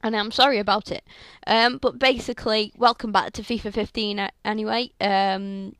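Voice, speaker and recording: A young woman with a sore, croaky throat, chatting through video game commentary on a headset mic in a rambling way full of fillers. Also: mid-range voice, steady tone, normal speed, fairly bright tone, no room sound. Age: young adult. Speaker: gaming channel host. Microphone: headset microphone.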